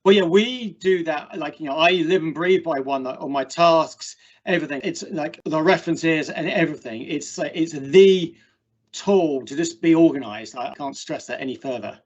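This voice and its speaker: A man's voice: on the high side, moderate pace. A man hosting an online meetup, chatting casually and ramblingly as he interviews a guest over a video call. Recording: dull, muffled call audio.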